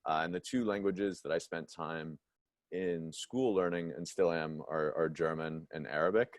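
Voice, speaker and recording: Young American male student panelist, deep voice, dry close sound, informal introduction. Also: steady tone, moderate pace, dry recording. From Idaho, United States. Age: young adult.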